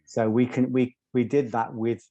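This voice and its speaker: Soft-spoken man, dry. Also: medium pitch, level tone, no echo, dull audio. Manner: measured, articulate, occasional ums. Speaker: guest, former doorman. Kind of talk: reflective interview storytelling.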